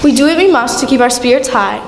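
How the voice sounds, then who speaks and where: A woman playing a warm, fussing working-class mother in a live radio play. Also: high-pitched, fast. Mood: worried. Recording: echoey hall, background noise.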